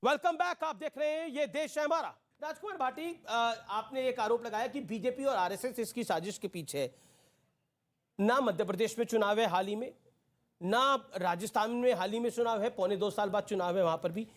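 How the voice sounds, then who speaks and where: Male debate show host, super high pitch, moderating live news panel. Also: moderate pace.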